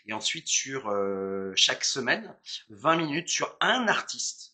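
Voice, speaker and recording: A man's voice: medium pitch, sing-song, takes it slowly, sharp tone, slightly rough. A middle-aged man talking as a guest in a casual interview, passionate and talkative, often repeating words and digressing. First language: French. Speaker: interview guest, musician, singer and teacher.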